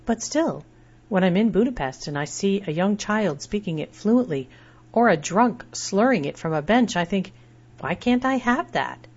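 A middle-aged woman reading a personal essay aloud in a measured, reflective way. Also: lowish voice. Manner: measured and reflective, spells out words letter by letter. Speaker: essay narrator. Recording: dull lo-fi recording.

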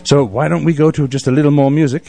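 A man's voice: a little high, fairly even intonation, fast, creaky, mellow. A man hosting a casual radio interview, chatting in a wordy, effusive storyteller's way. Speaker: radio show host. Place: radio studio.

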